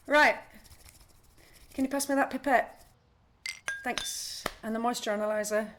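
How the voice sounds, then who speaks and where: A woman playing a brusque, socially awkward, overwhelmed woman in a naturalistic audio play, speaking bluntly in halting, repeated phrases. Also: on the high side, fairly even intonation, noisy background, close to an everyday voice. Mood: curt, evasive. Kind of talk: naturalistic audio drama acting.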